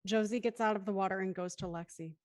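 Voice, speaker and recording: A woman's voice: mid-range voice, fairly even intonation, fast, close to an everyday voice. A woman narrating the scene directions of a scripted drama reading.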